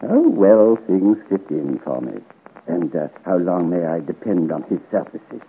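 An actor playing an elegant, scheming old duke in a theatrical, courtly manner, in the style of a 1950s radio serial. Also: male voice, deep voice, very animated, a little slow, narrow, tinny audio, heavily acted. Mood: pleased, scheming. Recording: thin, noisy old radio recording.

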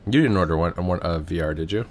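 A man co-hosting a podcast, his voice very low and a bit stuffed up from a cold. He talks in an easygoing, conversational way with a few ums and restarts. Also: fast, a little mellow. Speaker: podcast co-host. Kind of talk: casual podcast chat.

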